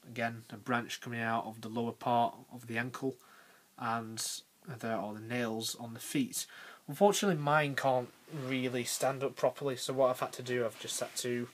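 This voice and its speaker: A young man around nineteen giving a casual, unscripted review as a video creator, halting and pausing mid-phrase. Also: medium pitch, fairly even intonation. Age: young adult, about 19. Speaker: YouTube toy reviewer. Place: small bedroom, indoors. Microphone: handheld camera's built-in mic.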